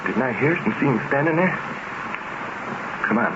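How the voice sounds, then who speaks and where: A man's voice: mid-range voice, big pitch swings, moderate pace, close to an everyday voice. An actor playing an agitated, greedy, domineering man with an urgent, insistent delivery in an old-time radio horror drama. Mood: urgent insistence. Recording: noisy, dull vintage radio recording.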